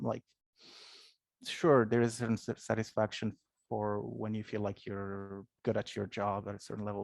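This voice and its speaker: Moroccan man, 30s, English as third language; low gravelly voice, dry close mic, reflective guest. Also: darkish tone, dry recording. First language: not English; English is his third language. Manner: thoughtful, many fillers and restarts, laughs often. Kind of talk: casual interview.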